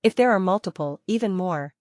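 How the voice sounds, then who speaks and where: A woman with a slightly low voice leads a software training lesson. She explains things in small steps, restates key points and keeps putting questions to her learners. The sound is dry, with very little room in it. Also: lively intonation, brisk, no echo. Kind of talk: interactive step-by-step teaching.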